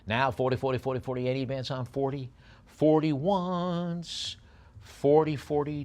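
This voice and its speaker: A man working as an auctioneer at a live sale, moving between repetitive bid chanting and descriptions of the lots. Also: medium pitch. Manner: rapid repeated bid chant alternating with unhurried item descriptions.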